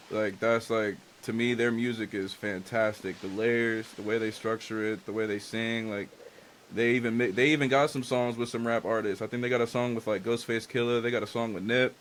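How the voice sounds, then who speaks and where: A young man whose first language is Spanish, talking in a loose, candid way and leaning on "like" and slang. His voice sits at an everyday middle pitch. A faint hiss of noise runs underneath. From Dominican and Haitian family. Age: young adult. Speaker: guest artist and engineer. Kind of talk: casual interview chat.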